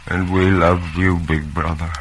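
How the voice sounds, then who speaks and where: A man's voice: deep voice, flat delivery, measured pace. An actor playing a broken, submissive man, speaking with abject devotion in old radio-drama style. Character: broken, submissive man professing love for his leader. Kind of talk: mid-century radio drama acting. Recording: faintly noisy old radio recording.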